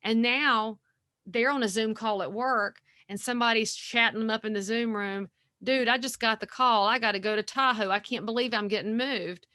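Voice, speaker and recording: A woman, talking as a guest realtor on a podcast, folksy and energetic. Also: fairly high pitch, normal speed, no echo, studio quality. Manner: energetic, folksy, animated storytelling. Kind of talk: podcast interview.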